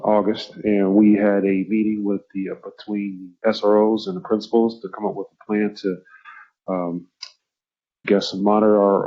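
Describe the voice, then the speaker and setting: Quiet calm guy, low flat voice, safety director at a board meeting, kinda dull audio. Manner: soft-spoken and calm. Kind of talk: presentation to a board.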